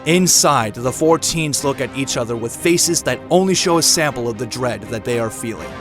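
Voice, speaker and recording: A man's voice: mid-range voice, animated, normal speed, a bit bright. A man reading scripted dramatic narration for an audio drama, describing action scenes as a storyteller. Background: clear dramatic music.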